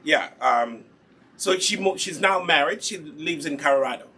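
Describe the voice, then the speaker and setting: A man's voice: on the high side, lively intonation, normal speed, bright, forceful tone, a little hiss or hum. A man from Uganda with a Ugandan accent, hosting a casual live hair care demonstration in an instructive way, repeating key points. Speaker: host, natural hair product seller and demonstrator.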